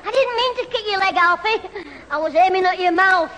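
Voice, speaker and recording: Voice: very high-pitched, a little fast, a crowd in the background, background noise. An actor playing a cheeky, scheming schoolboy in a 1970s radio sitcom. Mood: gleeful, cheeky. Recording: reverberant old radio recording before audience.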